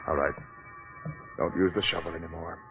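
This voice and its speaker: An actor playing a commanding, businesslike police investigator in an old-time radio drama, terse and authoritative. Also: male voice, a bass voice, steady tone, a little fast, a bit bright, highs cut off, faint music behind. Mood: guarded, directing. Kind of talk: 1940s radio drama acting.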